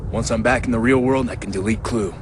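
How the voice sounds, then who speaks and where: A man's voice: medium pitch, fast, slight background noise, close to an everyday voice. An actor playing a determined, impulsive young man in a video game, talking in a direct, informal way. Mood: eager. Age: young adult. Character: determined, impulsive young man seeking his lost father. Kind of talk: cinematic video game acting. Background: faint music.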